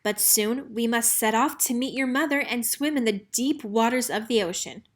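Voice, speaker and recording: Woman reading as a proud, gentle father penguin. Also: a little high, normal speed, close to an everyday voice. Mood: protective. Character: big male emperor penguin, proud protective father. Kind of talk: children's storybook read-aloud.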